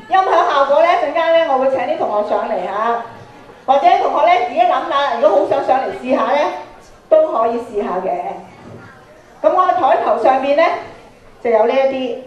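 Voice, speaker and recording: High-voiced Hong Kong woman teaching in a hall. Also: lo-fi sound. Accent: Hong Kong Cantonese. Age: middle-aged. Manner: instructive, prompting with questions and tag particles. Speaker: radio drama workshop speaker. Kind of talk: interactive live workshop talk to students. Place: large indoor auditorium, on and before the stage. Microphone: handheld microphone through PA. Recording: roomy auditorium PA sound.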